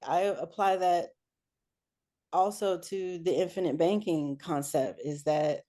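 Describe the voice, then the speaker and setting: Woman, deep pitch, slow pace, conversational interview guest. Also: fairly even intonation, mellow. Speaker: interview guest, air traffic control supervisor and businesswoman.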